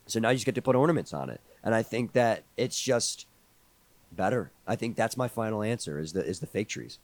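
Man, 20s, hoarse, easygoing podcast chat, close dry sound. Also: lowish voice, lively intonation, no echo. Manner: quick, easygoing, joking. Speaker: podcast co-host. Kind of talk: casual chat.

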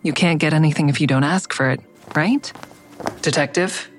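A woman's voice: deep voice, normal speed, natural voice. Actress as a wry, self-questioning woman over music. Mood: wry, resigned. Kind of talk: first-person audio drama narration. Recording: music bed under the voice.

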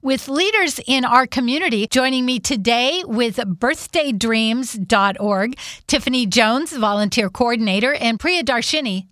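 Bright-toned woman host, dry sound. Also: a little high, no echo. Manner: warm, welcoming, affirming. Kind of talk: community interview show.

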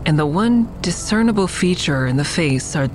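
An actress playing a reflective, tender woman who narrates an audio drama in measured, descriptive first person. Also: on the low side, expressive, a little mellow, soft background music, natural voice. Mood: uneasy, suspenseful. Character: reflective woman admiring a friend's mural, tender. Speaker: narrator and lead character.

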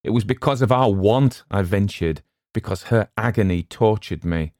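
Man acting storybook castaway, male, husky, hopeless, formal and halting, raised pitch, dry sound. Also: darkish tone, no room sound, natural voice. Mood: anguished. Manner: halting, pleading, old-fashioned speech. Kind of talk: storybook character voice.

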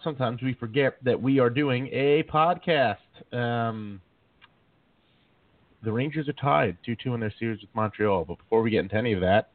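Joking podcast host, slightly sore throat. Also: male voice, mid-range voice, no room sound. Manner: joking, self-mocking banter. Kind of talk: casual podcast chat. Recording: dry, tinny phone-like sound, a bit distant.

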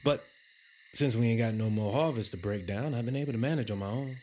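A man's voice: mid-range voice, a little fast, warm tone, a little hiss or hum, close to an everyday voice. Wary Southern countryman, played by a male actor. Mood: uneasy, doubtful. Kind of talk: audio drama acting.

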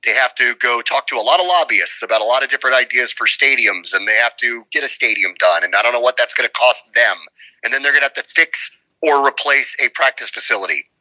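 Guy doing sports talk, real bright punchy voice, emphatic and full of opinions, asks rhetorical questions. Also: mid-range voice, fast, low-quality recording. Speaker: guest sports radio play-by-play announcer. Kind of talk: conversational sports commentary.

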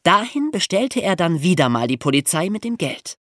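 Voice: mid-range voice, big pitch swings, normal speed, a bit bright, no room sound, studio quality. A podcast host telling true crime stories for young listeners in an amused, colloquial way.

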